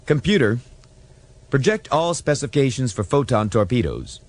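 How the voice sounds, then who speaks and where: A man's voice: medium pitch, very animated, moderate pace, darkish tone, pitched above the performer's own voice. A man voicing an eager, earnest young starship ensign in a science fiction audiobook. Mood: eager, insistent.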